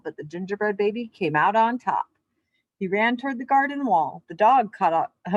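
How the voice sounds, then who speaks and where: A woman's voice: mid-range voice, no room sound, lo-fi sound. A woman with a mid-range voice talks as a teacher of young children. She keeps her wording simple, as in a lesson or storybook read-aloud. The recording is dry, with little room sound. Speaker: elementary physical education teacher.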